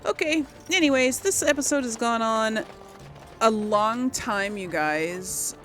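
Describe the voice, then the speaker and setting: A woman's voice: a little high, lively intonation, slow, fairly bright tone, soft background music. A woman giving casual video game commentary as a host, chatty and hesitant as she thinks aloud.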